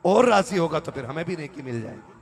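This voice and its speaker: A man's voice: on the high side, big pitch swings, brisk, gravelly. A man delivers a conversational religious sermon to a live gathering, urging listeners to respond and at times reciting verse. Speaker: Islamic preacher.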